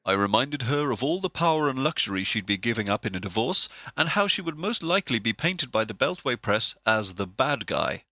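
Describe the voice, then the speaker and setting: A man playing a dry, prim political colleague in a comedic audio drama, with deadpan, formal and precise delivery. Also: mid-range voice, a little fast, few pauses, no room sound, exaggerated voice. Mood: smug.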